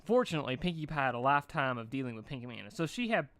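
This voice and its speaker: Male amateur story reader, mid-pitched, loose and stumbling delivery, dry recording. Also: big pitch swings, very fast, fairly bright tone, dry recording. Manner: stumbles and rereads phrases. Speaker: fan fiction reader. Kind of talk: informal storybook narration.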